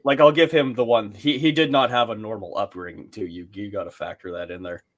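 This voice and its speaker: A man co-hosting a casual podcast, cracking jokes and throwing in quick asides. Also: on the low side, steady tone, fairly bright tone. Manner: wisecracking, joking asides.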